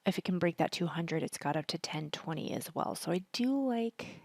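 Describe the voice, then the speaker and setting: A woman with a husky, partly hoarse voice, chatting casually and thinking aloud as she hosts a stock market screen-share commentary. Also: fairly low pitch, expressive, normal speed, darkish tone, dry recording. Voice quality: husky, worn voice. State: partly hoarse from singing at a concert. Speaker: stock trading educator, video host.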